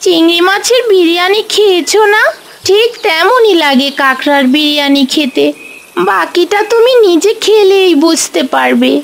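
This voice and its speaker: Woman voicing a very high, chirpy village girl. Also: animated, moderate pace, gravelly, full character voice. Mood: cheerful. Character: chirpy, helpful young village daughter. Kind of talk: animated folk tale dialogue.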